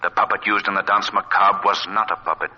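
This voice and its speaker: An actor playing an eerie unseen avenger in a 1940s radio thriller, mocking and commanding, with ominous pronouncements through a filtered, disembodied voice. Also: male voice, on the low side, flat delivery, bright, forceful tone. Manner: slow, ominous, accusing pronouncements. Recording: dull vintage radio recording.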